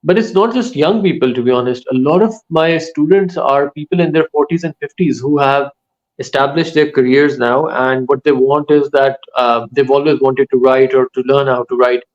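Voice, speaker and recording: A male author, whose first languages are Urdu and Saraiki, giving casual interview answers in a thoughtful way that pauses often mid-sentence. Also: medium pitch, fairly even intonation, highs cut off. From Pakistan. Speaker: author and writing teacher.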